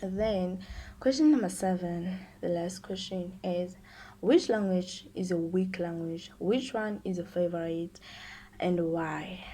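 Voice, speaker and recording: A young woman of eighteen speaks English with a Xhosa accent, since Xhosa is her first language. Her voice is mellow and warm, and she moves steadily through a list of prepared questions. Faint background noise is audible. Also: on the low side, slow. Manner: steady, answers each question in turn. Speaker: student teacher. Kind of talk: reading prepared answers to questions.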